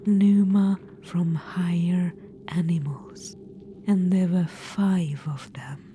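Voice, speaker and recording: Woman acting whispering undead witch-queen, imperious, macabre, slow, very dark, lowish. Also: fairly even intonation, slight background noise. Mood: doting menace. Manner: whispered, purring, calls listener darling; haughty and gleefully macabre. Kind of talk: whispered ASMR audio roleplay.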